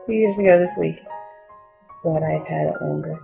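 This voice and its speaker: A woman giving personal testimony in a wry, emphatic way with a very creaky voice. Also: deep voice, steady tone, moderate pace. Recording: tinny narrow sound, faint music.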